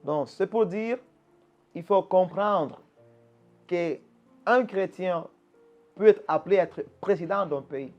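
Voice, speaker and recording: A man's voice: sits high, takes it slowly, pauses often, highs cut off, soft background music. A man, a pastor, answering interview questions in an earnest, preaching manner, often repeating words as he restarts a phrase. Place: Quebec.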